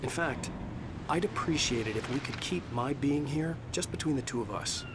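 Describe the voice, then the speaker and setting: A man's voice: medium pitch, lively intonation, moderate pace, very noisy, natural voice. Actor as a guarded, weary male writer. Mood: guarded, curt. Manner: dry, curt but civil.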